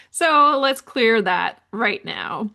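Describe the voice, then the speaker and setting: A woman's voice: a little high, gravelly, pushed and bright. A middle-aged woman with a French Canadian accent, a native French speaker from New Brunswick, hosting a podcast and telling her life story in a candid, reflective way with frequent ums and restarts. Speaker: podcast host. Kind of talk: personal storytelling monologue.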